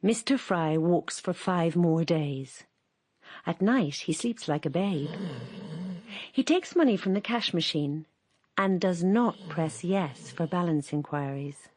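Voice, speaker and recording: A woman narrating a radio drama in a calm, measured, slightly wry voice. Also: lowish voice, no room sound, studio quality.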